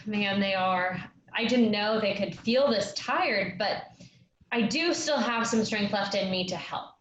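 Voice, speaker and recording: A woman's voice: mid-range voice, a little slow, fairly bright tone, lightly acted. Eager young girl, played by a woman, keen to please, stage play reading, muffled, faint noise. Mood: eager, persistent. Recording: roomy, noisy, muffled sound.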